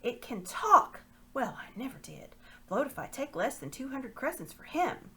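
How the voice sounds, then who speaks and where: Brief: greedy jovial slaver, bluff and higher than usual , a woman doing the voice. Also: very animated, normal speed, a bit bright, slight background noise, exaggerated voice. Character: gruff cheerful male slave merchant, greedy.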